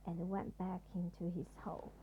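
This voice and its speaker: A woman narrating a children's storybook as a parent would at bedtime, with deliberate, expressive phrasing. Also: fairly low pitch, phone-quality sound, slight background noise.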